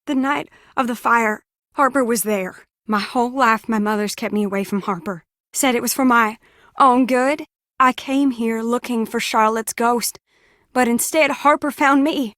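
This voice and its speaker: A woman's voice: on the high side, normal speed, a bit bright, no echo, clean studio sound, natural voice. An actress playing an earnest, troubled young woman in a video game, speaking with urgent, pleading sincerity. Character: earnest, troubled young woman confiding dark family secrets. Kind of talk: scripted game voice acting.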